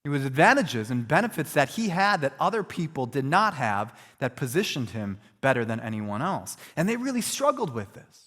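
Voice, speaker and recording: A man's voice: mid-range voice, big pitch swings, moderate pace, few pauses, a bit bright, big-room sound. A man preaching as a pastor, earnest and reflective, talking through a hard question in a conversational way. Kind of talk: sermon.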